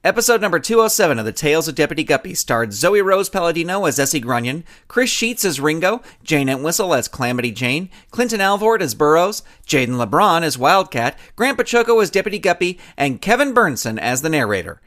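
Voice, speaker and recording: A man's voice: fairly high pitch, big pitch swings, brisk, few pauses, a bit bright. A man reading scripted credits and promos as the upbeat announcer of a children's podcast. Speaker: show announcer.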